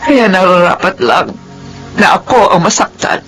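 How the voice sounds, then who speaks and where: An actress playing a loving, remorseful middle-aged mother in a Filipino radio drama, with halting, heartfelt delivery. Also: lowish voice, animated, a bit bright, low-quality recording. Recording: radio drama with music underneath.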